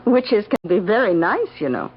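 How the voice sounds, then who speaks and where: An actress playing a calm, indulgent woman confidante who soothes and reassures, in an old-time radio drama. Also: mid-range voice, normal speed, breathy edge. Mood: reassuring. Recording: dull old radio recording.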